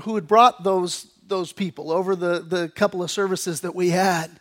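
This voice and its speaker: An American man preaching a sermon as pastor, reading scripture and growing animated and excited as he explains it. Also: high voice, normal speed.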